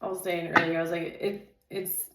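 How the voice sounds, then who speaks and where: A woman's voice: on the low side, little pitch movement, fairly quick, heavy background noise. Chatty woman reading tarot, echoey room. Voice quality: says she has a naturally loud voice. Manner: chatty, loose, filler-heavy, says "dude" and "like" constantly. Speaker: tarot reader. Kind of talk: casual tarot reading and rambling chat.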